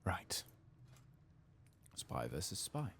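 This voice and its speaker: Very deep, creaky, soft-spoken man. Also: huge pitch swings, extremely slow, a little hiss or hum. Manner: casual, muttering to himself, thinking aloud, mild swearing. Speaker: gaming video host. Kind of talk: soft-spoken ASMR playthrough commentary, partly whispered.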